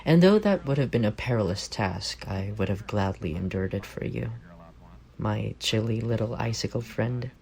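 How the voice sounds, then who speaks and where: A man's voice: fairly low pitch, expressive, normal speed, gravelly, darkish tone. A man playing an affectionate, teasing young roommate in an intimate ASMR roleplay, speaking in a playful, tender way.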